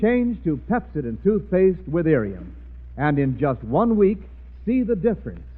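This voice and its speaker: An American male announcer delivers an emphatic, polished 1940s radio sales pitch. His voice is fairly high and lively, heard through a very tinny, narrow old recording. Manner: emphatic, polished, deliberate stresses.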